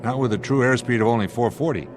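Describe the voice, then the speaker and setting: An actor playing a steady, commanding airline captain with a terse, authoritative manner in a radio drama. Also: male voice, mid-range voice, fast talker, over music, lightly acted. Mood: grave, puzzled.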